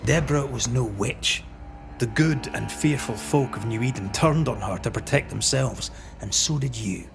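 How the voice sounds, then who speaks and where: An actor playing a resolute male ghost hunter in a video game, accusing in a stern, measured, solemn way. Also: medium pitch, gravelly, music in the background, natural voice. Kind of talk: dramatic video game acting.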